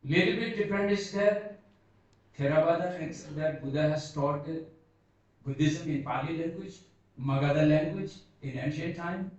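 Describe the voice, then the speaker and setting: A man whose first language is Bhoti gives an unscripted seminar talk, halting and searching for words as he speaks slowly and earnestly. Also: on the high side, a little mellow. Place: seminar hall. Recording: echoey hall, distant dull mic.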